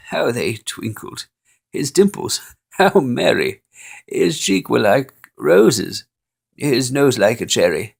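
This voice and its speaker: A man reading a holiday poem aloud in an expressive, playful way, with chuckles along the way. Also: mid-range voice, vocal fry, slightly rough. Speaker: storyteller.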